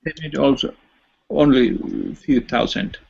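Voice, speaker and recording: Slow halting Finnish man, gravelly. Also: medium pitch, fairly even intonation, darkish tone, a little hiss or hum. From Finland. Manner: hesitant, halting, many fillers and restarts. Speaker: chat participant. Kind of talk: casual online voice chat. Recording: dull lo-fi online voice chat audio.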